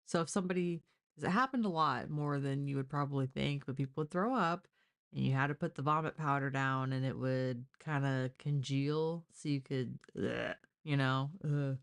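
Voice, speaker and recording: Low voiced woman just chatting on a crime livestream, super informal, kinda exasperated. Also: moderate pace, smooth. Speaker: live stream true crime host. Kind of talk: casual live case discussion.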